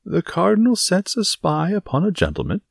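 A man's voice: high-pitched, huge pitch swings, normal speed, gravelly, husky, no echo, clean studio sound, pitched above the performer's own voice, full character voice. A man voicing a boastful, self-satisfied musketeer in an audiobook adventure story, exclaiming theatrically. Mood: indignant. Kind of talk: dramatised audiobook reading of a classic adventure novel.

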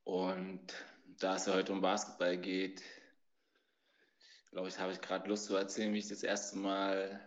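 Very low voiced 40s guy from berlin, kinda tired, telling old stories, dull audio. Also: completely flat delivery, a little slow, pauses often. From Berlin, Germany. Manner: tired, reflective, hesitant. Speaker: podcast guest, youth basketball coach. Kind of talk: casual storytelling.

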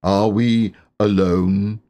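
A man's voice: fairly low pitch, steady tone, very slow, dark tone. A man plays an old donkey in a children's story, gloomy and forever aggrieved. The delivery is ponderous and drawn out, with dry sarcasm in every phrase. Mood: dour.

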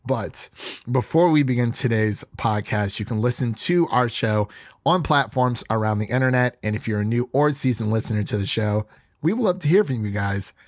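Man, podcast host, raspy stuffy voice, chatty rambling, dry close sound. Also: medium pitch, expressive, moderate pace, no room sound. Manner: chatty, rambling with frequent restarts. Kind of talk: casual podcast chat.

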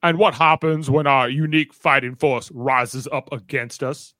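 A man playing a hateful extremist senator in a radio-play debate, forceful and declamatory. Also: medium pitch, fairly even intonation, normal speed, no room sound, studio quality, close to an everyday voice. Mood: venomous.

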